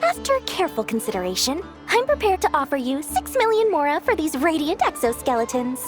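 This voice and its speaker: A tiny girlish trader with a very high, swooping voice. The talk is flowery, fawning salesmanship, theatrical and eager for coin, as in an animated video game, with music underneath. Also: a little fast. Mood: effusive. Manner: effusive, flowery salesperson's patter. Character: small girlish merchant, flattering, money-minded, theatrical.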